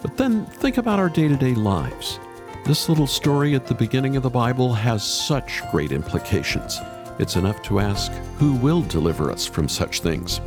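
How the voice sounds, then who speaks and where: A man's voice: mid-range voice, very animated, moderate pace, over music. A man hosting a faith podcast, delivering introductions and interview questions in a radio style.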